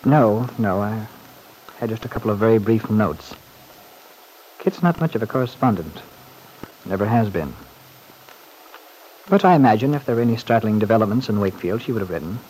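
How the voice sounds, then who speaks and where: An actor playing a wry, urbane, harried gentleman who speaks hesitantly in an old-time radio serial. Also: fairly low pitch, animated, brisk, long pauses, close to an everyday voice. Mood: rueful, reflective. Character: wry, harried, well-off gentleman confiding in his manservant. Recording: faintly noisy old radio recording.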